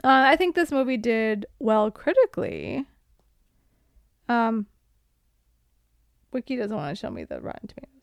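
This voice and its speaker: A woman co-hosting a podcast in a casual, playful chat about film, with an animated way of talking. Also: a little high, moderate pace, gravelly, long pauses, no echo, studio quality. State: tired. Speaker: podcast co-host.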